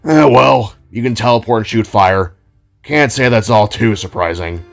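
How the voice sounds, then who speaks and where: A man playing a cheerful, friendly bullhorn man who runs a bakery, with a gravelly, easygoing, folksy delivery. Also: fairly low pitch, normal speed. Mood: nonchalant. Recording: music behind the voice.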